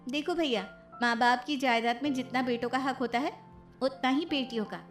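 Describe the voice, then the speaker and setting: A woman's voice: high voice, moderate pace, soft background music, natural voice. A woman playing a defiant young sister who stands up to the family in an assertive, confrontational way.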